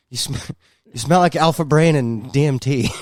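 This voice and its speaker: A man's voice: medium pitch, darkish tone, dry recording. A man co-hosting a podcast in a casual, irreverent, joking way, swearing freely. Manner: jokey, irreverent, profane. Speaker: podcast co-host.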